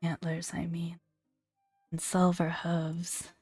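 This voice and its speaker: A woman doing soft-spoken ASMR game commentary in a breathy, gentle near-whisper, murmuring along without hurry. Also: lowish voice, completely flat delivery, slow pace, no echo, faint music behind. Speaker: video game content creator.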